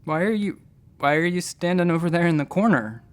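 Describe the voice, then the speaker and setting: A man's voice: on the high side, a little fast, smooth, natural voice. A man playing a nervous space-station doctor who talks in a hesitant, rambling, self-deprecating way in a sci-fi audio drama. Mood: uneasy, coaxing. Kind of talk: sci-fi audio drama acting, conversational first-person storytelling.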